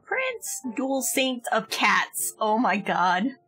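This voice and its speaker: A woman's voice: fairly high pitch, huge pitch swings, very slow, fairly bright tone, soft background music. A woman livestreamer chatting casually as she plays a game, on a close mic. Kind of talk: casual game stream chat.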